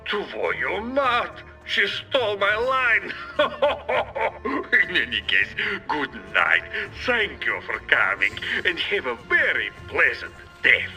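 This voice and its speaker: An actor playing a gloating Soviet premier in a video game, with a Russian accent and a grandiose, mock-polite manner, heard over a radio. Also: male voice, high-pitched, big pitch swings, takes it slowly, pushed and bright, faint music behind, heavily acted, poor audio. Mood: mocking menace. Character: gloating Soviet villain premier, theatrical and mocking. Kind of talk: theatrical video game villain acting.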